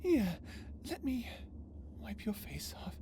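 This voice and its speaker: A woman playing an unhinged, vengeful, lovesick and tormented space villain in an ASMR roleplay drama, speaking in a theatrical, halting way. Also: fairly high pitch, big pitch swings, background noise, exaggerated voice. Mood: sweetly sinister. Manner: theatrical, halting, swinging between tender menace and anguish.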